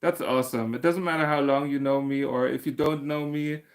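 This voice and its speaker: A man's voice: mid-range voice, steady tone, fairly quick. Chatty man hosting a livestream. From Germany. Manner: chatty, laughs often, many fillers and restarts. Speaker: crochet channel host. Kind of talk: casual livestream chat answering viewer comments.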